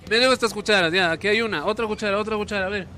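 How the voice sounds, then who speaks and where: A man's voice: sits high, racing, bright, forceful tone. A man in his thirties hosting on location with an Ecuadorian Spanish accent, playful and coaxing, often repeating words, holding a handheld mic. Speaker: TV host. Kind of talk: lively on-location presenting. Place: hotel kitchen and street outdoors. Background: street ambience, traffic.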